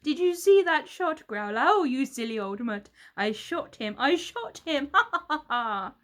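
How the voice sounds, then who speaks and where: A woman's voice: high-pitched, lively intonation, measured pace, a bit bright, pitched above the performer's own voice. A woman voicing a cruel male hunter in a children's storybook, menacing, taunting and crowing. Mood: gleeful gloating.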